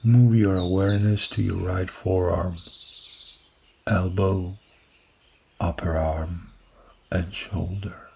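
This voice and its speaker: A man guides a body-scan meditation in a deep, low voice with a gravelly edge. He speaks extremely slowly and softly, leaving long pauses. Birds chirp clearly in the background throughout. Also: slight background noise. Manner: slow, soft, calm guiding delivery with long pauses. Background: recorded birdsong from woods.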